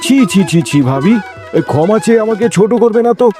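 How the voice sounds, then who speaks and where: A man voicing a gentle, forgiving younger brother in a melodramatic village cartoon, given to exclamations. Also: high-pitched, lively intonation, quick pace, faint music behind.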